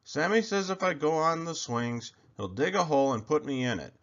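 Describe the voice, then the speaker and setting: A man's voice: on the high side, lively intonation, moderate pace, a bit bright, lo-fi sound, voice pushed up. A man voicing a timid but imaginative young boy in a storybook, speaking earnestly and plainly. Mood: worried.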